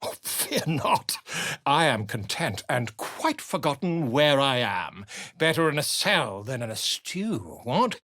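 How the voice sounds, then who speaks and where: A man's voice: medium pitch, very animated, slow pace, sharp tone, dry recording, studio quality, full character voice. An actor playing an eccentric, pompous male bard and scholar in a video game, speaking in flowery, declamatory phrases.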